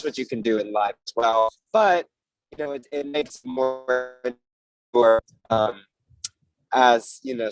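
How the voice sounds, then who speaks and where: A man's voice: medium pitch, no echo, rough recording. Chatty man, dry close sound. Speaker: theater director guest. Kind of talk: casual panel discussion.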